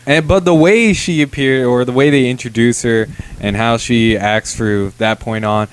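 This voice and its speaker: Man, mid-pitched, measured co-host, casual review chat, slangy. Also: steady tone, a little hiss or hum. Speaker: co-host of a comic book review show.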